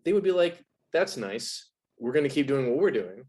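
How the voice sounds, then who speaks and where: A man's voice: medium pitch, big pitch swings, moderate pace, a little mellow. A man talking as an interview guest, explaining ideas in a casual, conversational way.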